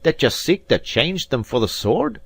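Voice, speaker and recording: A man reading aloud and voicing a mocking, mock-courteous Highland rival piper in a voice. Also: big pitch swings, normal speed, slightly rough, no room sound.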